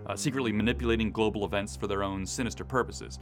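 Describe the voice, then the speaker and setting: A man co-hosting a podcast as a director, explaining things in measured phrases in a conversational, loosely scripted style. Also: lowish voice, fairly even intonation, music in the background. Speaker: audio drama director, co-host.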